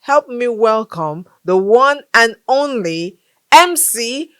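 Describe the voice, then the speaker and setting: A woman's voice: a little high, animated, slow, fairly bright tone, clear, resonant tone, no room sound, studio quality. A woman hosting a casual podcast interview, bubbly and teasing, laughing often. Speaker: podcast host.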